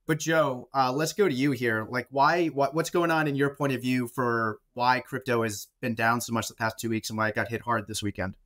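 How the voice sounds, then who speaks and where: A man's voice: mid-range voice, fairly even intonation, normal speed, few pauses, fairly bright tone. A man hosting a podcast in a casual, informal and jokey manner. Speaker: podcast host.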